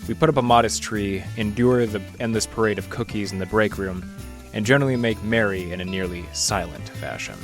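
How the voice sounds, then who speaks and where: Man as world-weary radio detective, low-key wry narration, deadpan, mock-tough, prominent guitar underneath. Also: natural voice. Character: world-weary hard-boiled male library detective narrating his case. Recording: narration over loud guitar music. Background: guitar music.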